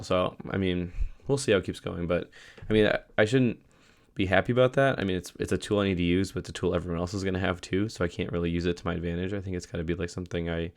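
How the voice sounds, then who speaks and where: Very deep-voiced man, hoarse from a cold. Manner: rambling with many fillers and restarts. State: losing his voice, a little sick. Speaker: vlogger. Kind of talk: casual daily video diary.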